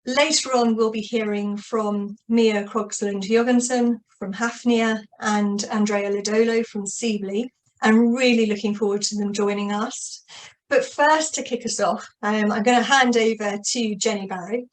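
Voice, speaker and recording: Flat-toned woman hosting a webinar. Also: medium pitch, unhurried, low-quality recording. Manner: upbeat, many fillers and restarts. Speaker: webinar host. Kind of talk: opening remarks.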